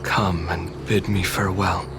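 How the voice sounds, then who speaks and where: Game role: calm, commanding dying knight, low voice, slow grave delivery, music behind. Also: male voice. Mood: wistful, resigned. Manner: measured, grave, deliberate. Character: dying male warrior knight, calm, commanding old friend. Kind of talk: dramatic game dubbing. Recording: music under the voice.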